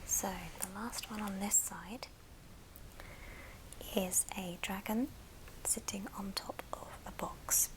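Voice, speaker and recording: A woman speaking in a breathy, unvoiced whisper, gently presenting items in an ASMR show and tell with pauses between short phrases. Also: medium pitch, fairly even intonation, rough recording. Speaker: ASMR video host.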